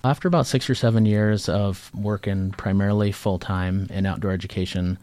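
A man from Maine, a judge, answering interview questions in a relaxed, measured way. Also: low voice, no echo. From Maine, USA. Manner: relaxed, measured, some fillers. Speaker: guest, judge. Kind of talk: radio interview.

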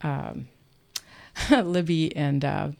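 A woman hosting a casual radio interview in a studio, enthusiastic but halting as she searches for words. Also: low-pitched, vocal fry, darkish tone. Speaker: radio host. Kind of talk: casual unscripted on-air chat.

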